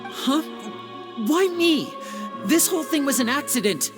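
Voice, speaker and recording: An actor playing a playful, showy young stage magician in a game cutscene, with a theatrical, teasing delivery. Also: male voice, very high voice, sing-song, measured pace, exaggerated voice. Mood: indignant. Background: music score.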